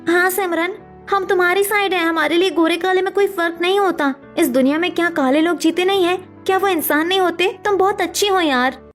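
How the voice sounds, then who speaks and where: A woman plays a kind young daughter-in-law in a cartoon story. She speaks in a very high, caring voice, with light music underneath. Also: fairly quick, a bit bright. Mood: supportive. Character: concerned, kindly young newlywed Indian daughter-in-law. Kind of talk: cartoon family drama voice acting.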